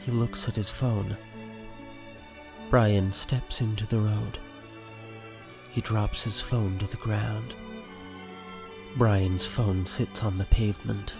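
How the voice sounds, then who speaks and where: Creaky-voiced man narrating over music. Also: on the low side, fairly even intonation, takes it slowly, pauses often. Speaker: narrator of an audio drama. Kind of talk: scripted narration, reading stage directions.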